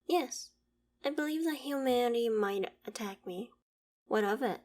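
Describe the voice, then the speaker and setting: A woman's voice: a little high, measured pace, darkish tone, natural voice. Proud, imperious ancient dragon, acted by a woman. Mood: proud, dismissive. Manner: formal archaic diction, imperious, theatrical. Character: proud ancient female dragon, haughty but fond of humans. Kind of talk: scripted audio roleplay monologue.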